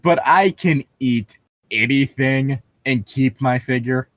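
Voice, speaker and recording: A man's voice: mid-range voice, slow, no echo. A man bantering casually as a co-host, jokey and opinionated, swearing freely. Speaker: co-host reacting to a fan fiction reading.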